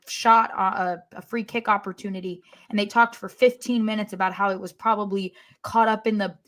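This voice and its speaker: A woman's voice: medium pitch, a bit bright. A woman co-hosting a sports podcast, chatting casually in a wry way with playful exaggeration. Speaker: podcast co-host.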